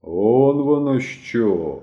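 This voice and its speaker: A man's voice: lowish voice, animated, fairly quick, dark tone, lo-fi sound, close to an everyday voice. A man voicing a stern elderly working-class aunt in an audiobook, grumbling and reproachful, with emphatic delivery. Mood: slow reproach.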